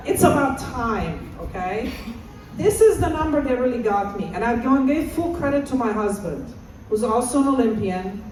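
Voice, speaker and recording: A woman's voice: a little high, expressive, normal speed, a little mellow, far from the mic, faint music behind. Woman in an echoey hall. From Romania. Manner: encouraging, asks audience to call out answers. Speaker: assistant professor and presenter. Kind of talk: interactive conference talk. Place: large conference hall.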